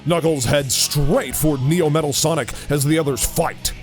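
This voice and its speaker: A man's voice: a little high, moderate pace. A man narrating a scripted audio drama story. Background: music.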